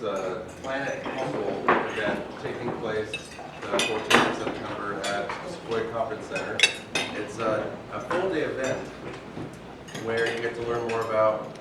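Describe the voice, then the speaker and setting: A man hosting a club meeting, giving casual announcements with many fillers and pauses. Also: fairly low pitch. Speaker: meeting host. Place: banquet room with dishes clinking. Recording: echoey room from far off, loud noise.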